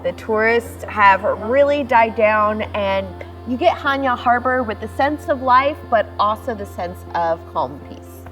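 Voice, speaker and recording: A woman's voice: a little high, lively intonation, measured pace, fairly bright tone, soft background music, low-quality recording. A woman from the United States chatting enthusiastically in a travel vlog, laughing easily, recorded outdoors at a harbor. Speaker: vlogger. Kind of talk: casual travel vlog talk.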